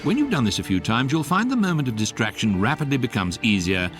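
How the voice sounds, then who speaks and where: An actor voicing a wry electronic guidebook, narrating a comic science fiction radio drama in a measured, deadpan way. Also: male voice, mid-range voice, over music, close to an everyday voice. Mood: wry, instructive.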